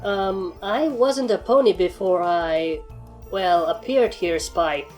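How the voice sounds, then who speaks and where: Woman as deadpan alien pony, world-weary, dry delivery, fan fiction audio story, background music. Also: on the low side, slow pace. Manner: dry, deadpan, matter-of-fact. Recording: music behind the voice.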